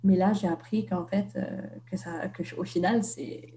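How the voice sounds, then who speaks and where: A young woman from Vietnam speaks as an author being interviewed. Her voice is mellow and soft, and she hesitates often on fillers and restarts. The recording is roomy and echoey, with a faint hum behind her. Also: fairly low pitch, steady tone, normal speed. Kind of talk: reflective interview conversation.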